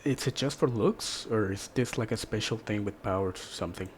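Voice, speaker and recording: Dude doing casual reaction chat, lowish voice, bit of background noise. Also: male voice, fairly quick. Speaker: reactor, co-commentator.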